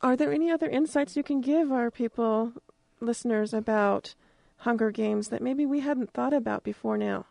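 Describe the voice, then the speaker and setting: A woman's voice: fairly high pitch, moderate pace, gravelly, darkish tone, no echo, studio quality. A woman hosting a casual interview, agreeable and reflective as she asks questions.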